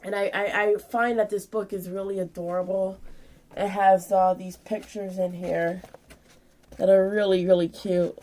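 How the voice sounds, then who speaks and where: A woman in her twenties with a medium-pitched voice presents items in a casual vlog. She explains each thing in an informal, rambling way, as if demonstrating it by hand. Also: flat delivery, a little slow, mellow. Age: 20s. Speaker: vlogger showing purchases. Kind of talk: casual show-and-tell vlog.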